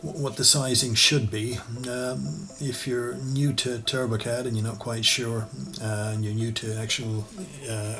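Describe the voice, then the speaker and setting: Irish guy doing a cad tutorial, gravelly voice, thinks out loud, fixes himself mid-sentence. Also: lowish voice. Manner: relaxed, thinks aloud while working, self-correcting. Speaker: architectural designer and CAD tutor. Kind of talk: screen-recorded software tutorial. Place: voice-over for screen recording.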